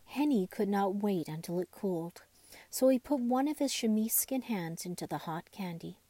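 A woman reads a bedtime tale for children in a soft-edged, mellow voice. Her delivery is expressive and deliberate, as a scripted storybook narration. The recording is very dry, with no room sound. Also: on the high side, dry recording. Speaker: storybook reader.

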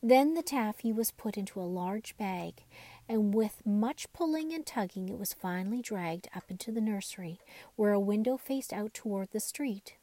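A woman reads a children's bedtime story. Her voice sits in the middle of the female range and has a soft, mellow tone. The sound is dry, with little room around it. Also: medium pitch, moderate pace, no room sound. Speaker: storyteller reading a children's book. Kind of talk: scripted bedtime story narration.

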